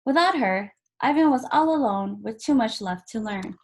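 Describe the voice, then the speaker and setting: Higher-voiced woman reading a children's book aloud. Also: animated, normal speed. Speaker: volunteer story reader.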